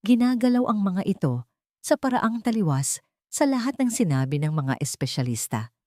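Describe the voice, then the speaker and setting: A woman's voice: medium pitch, very animated, normal speed, mellow, no room sound, clean studio sound. A woman reading a scripted dramatic story as a storyteller.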